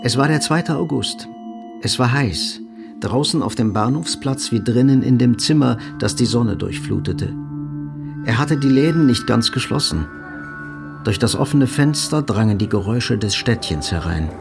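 A man's voice: medium pitch, animated, moderate pace, creaky, over music. A man narrating a German radio drama in a scripted, literary style.

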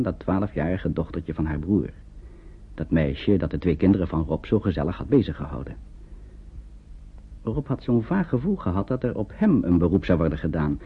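A man's voice: low voice, slightly rough, phone-quality sound. A man narrating a radio play as a storyteller, reading scripted prose in an old radio recording.

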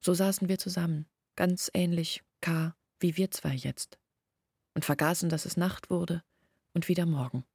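An actress playing a sorrowful young village woman in a radio play, speaking in a hushed, deliberate, brooding way. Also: lowish voice, steady tone, gravelly, husky, dry recording, studio quality. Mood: melancholic. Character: sorrowful young village woman recounting her family's disgrace. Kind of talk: radio play monologue, intimate recounting.

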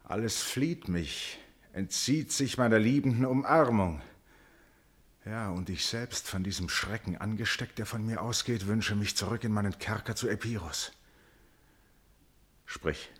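A man playing a proud, wrathful ancient Greek king and betrayed father in a classical verse radio tragedy, declaiming gravely and solemnly. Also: lowish voice, a little slow. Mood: shaken dismay.

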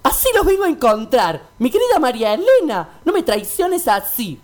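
A lovelorn sergeant played by a woman, very high and swooping. The speech is florid, jealous radio melodrama. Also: very animated, a little mellow. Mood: amorous, jealous. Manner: florid, halting declamation.

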